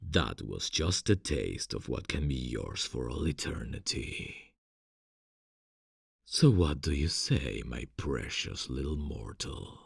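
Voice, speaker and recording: A seductive, possessive male devil for ASMR fantasy audio. The voice is extremely low and thick with gravel, unfolding at a slow, deliberate pace. He coaxes with formal courtesy, close on the mic. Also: big pitch swings, dry recording, full character voice. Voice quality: very deep, gravelly, husky. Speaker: voice actor. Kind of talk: ASMR fantasy roleplay acting. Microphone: close studio mic, dry.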